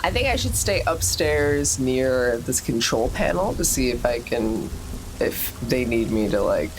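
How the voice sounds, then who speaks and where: A man's voice: mid-range voice, big pitch swings, a little slow, background noise. A man playing a tabletop roleplaying game, chatting casually as a player.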